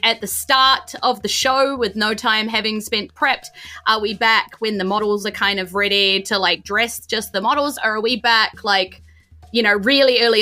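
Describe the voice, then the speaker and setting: A woman's voice: medium pitch, bright, forceful tone. A woman with a New Zealand accent running a tabletop game, narrating informally and putting questions to the players. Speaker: dungeon master of a tabletop game podcast. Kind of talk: improvised game narration, casual chat.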